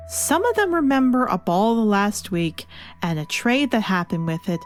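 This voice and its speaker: Woman narrating a review over music. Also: on the high side. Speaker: comic book reviewer and show host. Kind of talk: scripted video review narration. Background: music under the voice.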